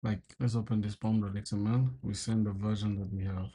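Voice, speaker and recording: Swearing, exasperated young coder. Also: male voice, lowish voice, level tone, brisk, warm tone, husky. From South Sudan. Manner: swears a lot, frustrated outbursts, calls viewers bro. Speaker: programming livestreamer. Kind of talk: casual live coding stream.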